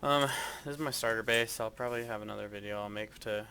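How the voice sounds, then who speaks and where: A man giving a casual, hesitant walkthrough of his game base, speaking in a flat monotone with frequent ums. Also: lowish voice, fast, slight background noise. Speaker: gaming video creator.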